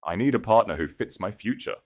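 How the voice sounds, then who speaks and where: A low-voiced, arrogant husband, acted out by a male narrator, obsessed with status. He speaks with contempt and gives orders as if everyone were beneath him. The delivery is vicious, in the style of a romance audio drama. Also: expressive, a little fast, darkish tone. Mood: furious, dismissive. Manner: contemptuous, commanding.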